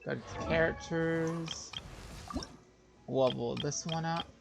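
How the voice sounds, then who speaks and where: A man's voice: fairly high pitch, steady tone, takes it slowly, over music. A man giving casual live gameplay commentary, thinking aloud in a distracted way. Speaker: game streamer. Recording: clear background noise, game ambience.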